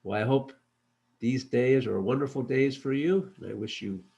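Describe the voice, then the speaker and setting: A man narrating a children's storybook as its author, with measured, expressive delivery. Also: medium pitch, creaky, husky, muffled recording. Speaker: author reading own children's book.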